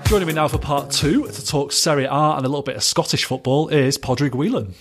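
A man's voice: on the high side, a little fast, loud music behind the voice. Guy hosting a football podcast, easygoing, asks his guest questions. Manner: friendly, laughs often, asks questions. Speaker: podcast host. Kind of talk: casual podcast interview.

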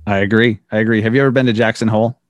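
A man hosting a podcast, relaxed and casual, firing off quick this-or-that questions to his guest. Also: on the low side, dry recording. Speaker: podcast host.

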